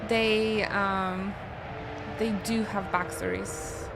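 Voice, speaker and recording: A woman's voice: medium pitch, steady tone, very slow, rough recording. A young woman from Poland with a Polish accent chats to her viewers while playing through a video game, musing aloud about the characters and story. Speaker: streamer. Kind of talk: live-stream gameplay commentary. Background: game music.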